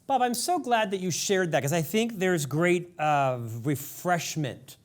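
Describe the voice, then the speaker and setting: A man's voice: sits high, unhurried. A man hosting a leadership podcast, speaking earnestly in conversation with a guest, with a lifelong stutter. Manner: earnest, engaged interviewer. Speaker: podcast host. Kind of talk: interview conversation.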